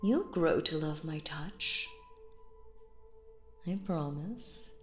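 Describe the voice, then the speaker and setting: A woman playing a possessive, sinister stage magician in a roleplay audio drama, coaxing theatrically and slipping into breathy whispers. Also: low voice, lively intonation, extremely slow, roomy sound, soft background music, full character voice. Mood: tender, possessive. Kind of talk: roleplay audio drama, intimate hypnotic monologue.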